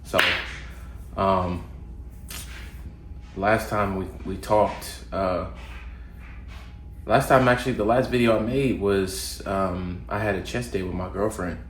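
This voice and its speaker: A man's voice: deep voice, slow pace, pauses often, background noise. A young man, a little congested from a cold, giving casual fitness advice to camera with plenty of ums and restarts. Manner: relaxed, loose with fillers and restarts. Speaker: fitness vlogger. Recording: roomy home recording, faint ambience.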